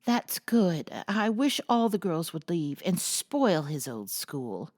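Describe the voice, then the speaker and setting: A woman's voice: lowish voice, very animated, slow, a little mellow, dry recording, studio quality, pitched above the performer's own voice, exaggerated voice. A woman voicing a vain young schoolgirl in a storybook reading, dramatic and plaintive. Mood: aggrieved.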